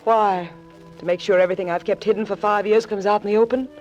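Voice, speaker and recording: A woman's voice: medium pitch, steady tone, fast talker, darkish tone, close to an everyday voice. An actress playing a cool, secretive and anxious woman in trouble in a 1940s radio drama. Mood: tense. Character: anxious, cool, secretive woman being blackmailed, pleading for help. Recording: dull vintage 1940s radio recording, faint music.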